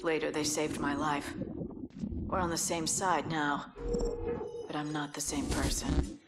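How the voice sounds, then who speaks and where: A woman's voice: low-pitched, little pitch movement, unhurried. An actress playing a cold young female soldier who is no longer quite human, in a detached, brooding monologue. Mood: cold, eerie. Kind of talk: dramatic game monologue. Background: loud cinematic music.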